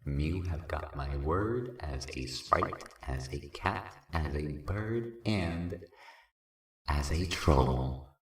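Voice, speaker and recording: A performer plays a very deep-voiced, unhurried cat-bird-troll sprite in a fan audio drama. The creature is cocky and playful, drawling its slang coolly. The recording is noticeably roomy and echoing. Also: lively intonation, full character voice. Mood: earnest, playful.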